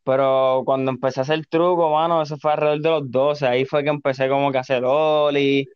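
A man's voice: medium pitch, little pitch movement, a little fast, clear, resonant tone, dry recording, highs cut off. A man of twenty-two from Puerto Rico with a Puerto Rican accent, a podcast guest chatting loosely in slang and swearing a lot. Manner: laid-back, slangy, swears constantly. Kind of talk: casual chat.

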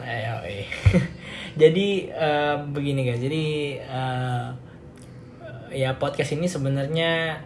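A man's voice: mid-range voice, darkish tone. A teenage boy, hosting a casual solo podcast full of fillers and restarts. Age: teens. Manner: rambling, many fillers and restarts, laughs often. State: slightly hoarse. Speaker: podcast host. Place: home room with music playing. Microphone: home recording setup. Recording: echoey home room, faint noise.

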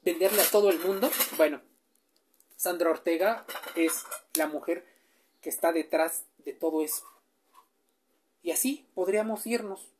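A woman speaks in a slightly low voice. She explains things in a chatty, direct way, keeps asking the listener questions and fills gaps with short hesitations. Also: unhurried, long pauses, a little mellow, slight background noise. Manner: chatty, asks the listener questions, with filler sounds. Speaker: presenter.

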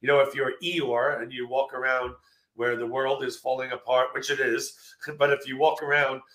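Guy about 59 losing his voice, upbeat rambly stories, bright tone, echoey room. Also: mid-range voice, normal speed. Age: 50s. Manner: energetic storytelling with fillers. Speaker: guest, marketing speaker. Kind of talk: casual interview chat. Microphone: video call.

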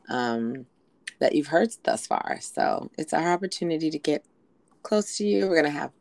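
A woman co-hosting a casual podcast, hoarse from a recent illness, chatting with lots of "um"s and "you know"s. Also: low-pitched, darkish tone, no room sound. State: hoarse from being sick. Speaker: podcast co-host.

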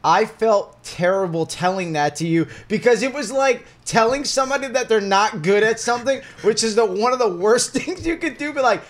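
A man's voice: high-pitched, normal speed, few pauses. A man co-hosting a podcast, bantering in a joking, teasing, laid-back way. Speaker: podcast co-host. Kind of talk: casual podcast chat.

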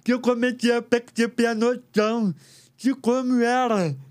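A man's voice: very high-pitched, level tone, moderate pace, a little mellow, clear, resonant tone. A man from Rio de Janeiro who lip-reads, speaking with careful, uneven pronunciation learned artificially, as a guest in a casual interview.

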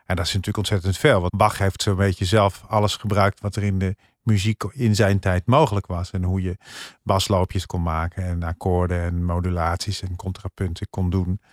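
A man's voice: low voice, flat delivery, moderate pace, dry recording, studio quality. A man with a Dutch accent chatting on a podcast panel, explaining things effusively. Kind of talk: casual opinionated chat.

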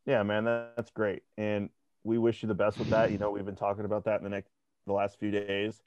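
Relaxed young man talking sports, lo-fi. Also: lowish voice, brisk, no echo. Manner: conversational, repeats words when restarting. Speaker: podcast co-host. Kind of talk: casual sports chat. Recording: dry, dull lo-fi sound.

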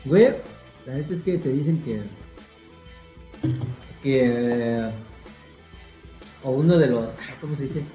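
A man's voice: mid-range voice, takes it slowly, pauses often, warm tone, roomy sound, faint music behind. A young man with a Mexican Spanish accent, co-hosting a podcast and telling a story in slangy, casual banter with friends. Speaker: podcast co-host.

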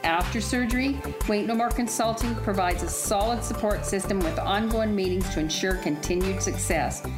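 A woman reading a radio advertisement in a friendly testimonial tone. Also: medium pitch. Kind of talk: radio ad voice-over. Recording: music bed behind.

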